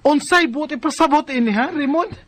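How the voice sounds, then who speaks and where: An actress with a Cebuano Filipino accent playing a distressed, betrayed live-in wife in a radio drama. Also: female voice, high-pitched, fairly quick, lightly acted. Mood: worried, suspicious.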